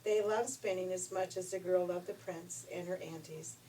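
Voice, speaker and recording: A woman reading a children's story in an expressive, folksy way. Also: on the low side, moderate pace. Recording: noisy, distant room recording.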